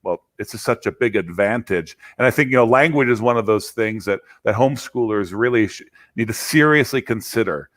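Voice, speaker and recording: A man's voice: on the low side, a little fast. Chatty male interview host. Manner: chatty, storytelling, animated emphasis. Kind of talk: casual interview conversation.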